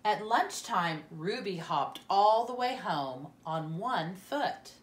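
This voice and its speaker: A woman reading a children's storybook aloud in an expressive, deliberate manner, softening at the ends of dialogue. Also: medium pitch, reverberant.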